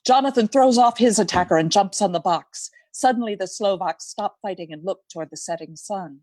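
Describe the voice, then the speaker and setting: A woman's voice: mid-range voice, brisk, dark tone, no room sound, natural voice. A woman giving dramatic scripted narration for a radio play.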